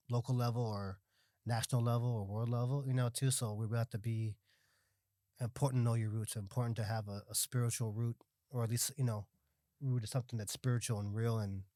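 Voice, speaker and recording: A man's voice: mid-range voice, a little mellow, dry recording, clean studio sound. A man in a casual podcast conversation, talking in a loose, slangy way. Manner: slangy, peppered with dude and bro. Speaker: podcast guest.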